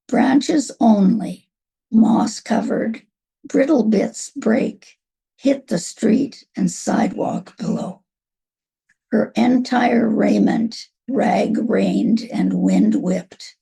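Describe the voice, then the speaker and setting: An older woman reads poetry at an extremely slow, deliberate pace. Her voice is heavily creaky and very husky, and she lets each short phrase stand on its own. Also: on the high side, warm tone, low-quality recording. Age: over 60. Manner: measured, pausing between short phrases. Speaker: writer reading own work. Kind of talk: slow literary reading of a poem.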